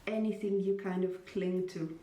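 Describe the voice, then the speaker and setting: A woman interviewer who asks her questions gently and lets them ramble. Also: on the low side, level tone, normal speed. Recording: echoey room, distant mic, faint noise.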